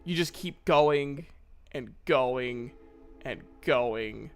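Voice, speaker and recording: A man improvising narration as game master of a tabletop game, halting and pausing to think. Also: mid-range voice, clean tone, faint music behind.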